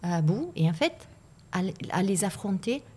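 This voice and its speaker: A woman's voice: mid-range voice, very animated, a little slow, darkish tone, husky. A woman with an Italian accent, a native Italian speaker, talking as a guest in a podcast interview, reflective and hesitant, often repeating words as she searches for them. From Italy.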